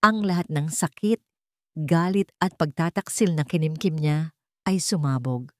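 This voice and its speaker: A woman's voice: deep voice, a little slow, a little mellow, no room sound, studio quality. A woman narrating a scripted romantic drama in a dramatic storytelling style.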